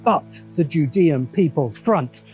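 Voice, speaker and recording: A man's voice: fairly high pitch, lively intonation, takes it slowly, a little mellow. A man delivering a scripted video essay in an opinionated, dryly sardonic way. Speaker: host of a science fiction commentary show. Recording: music behind the voice. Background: background music.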